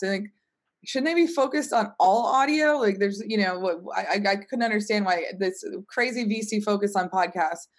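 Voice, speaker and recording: A woman with a medium-pitched voice talks casually as an interview guest. Her delivery is informal and conversational, the way an executive might tell a story off the cuff. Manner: informal, frequently says "like". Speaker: company CEO and podcast guest.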